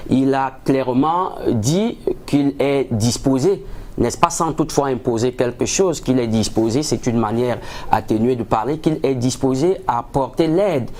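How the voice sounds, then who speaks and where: A man answering as a political analyst in a formal, measured, somewhat hesitant way, dotted with rhetorical tags. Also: medium pitch, warm tone. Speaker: political analyst, studio guest.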